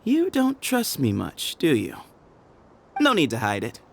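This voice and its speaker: Sly, teasing gang leader. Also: male voice, on the high side, huge pitch swings, measured pace, creaky, slight background noise, heavily acted. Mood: taunting. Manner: teasing, playful and evasive. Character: sly smirking leader of a hidden band of men. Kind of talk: video game voice acting.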